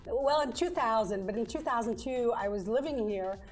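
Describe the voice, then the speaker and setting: A woman's voice: fairly high pitch, animated, moderate pace, rough recording. Interview guest: woman, middle-aged, from Lebanon, Arabic and French native. Conversational storyteller who acts out bits of dialogue, faint music underneath. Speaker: interview guest, engineer turned small business owner.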